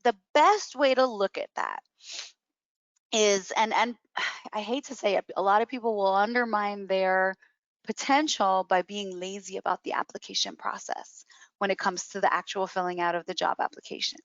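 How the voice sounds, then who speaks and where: A woman's voice: mid-range voice, moderate pace, a bit bright, dry recording. Emphatic woman coaching, dull dry audio. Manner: emphatic, repeats key words for stress. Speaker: career coach presenting a webinar. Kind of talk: explanatory webinar advice with question and answer.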